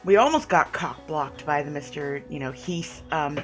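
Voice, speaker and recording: Young woman let's player, congested and sniffly, lower voice, excitable casual chatter, faint music bed. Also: moderate pace. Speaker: gaming video creator. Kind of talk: let's play commentary and reading game text.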